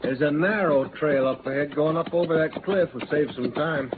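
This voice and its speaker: An actor playing a proud, stubborn Western outlaw with a folksy American rustic drawl in a 1950s radio drama. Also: male voice, a little high, moderate pace, natural voice. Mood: easygoing, folksy. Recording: noisy, tinny old-time radio recording.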